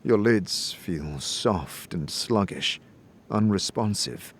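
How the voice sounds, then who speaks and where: A man narrating a dramatic second-person story as a game master, in a measured, deliberate, atmospheric manner. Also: deep voice, expressive, slight background noise. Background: music.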